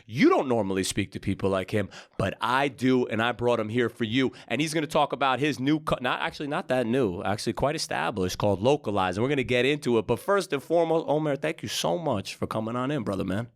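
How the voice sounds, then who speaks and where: A man from Brooklyn hosting a podcast, talking in a brash, slangy, hyped-up way. His voice sits at a middle pitch and he keeps the chat loose and casual. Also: fast talker, few pauses. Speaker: podcast host.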